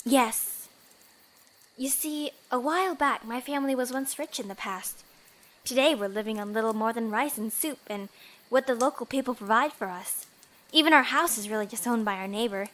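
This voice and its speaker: A woman's voice: fairly high pitch, moderate pace, fairly bright tone, lightly acted. A young woman acting in an audio drama as an earnest, pleading bride-to-be who is secretly a bandit. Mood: earnest, sorrowful.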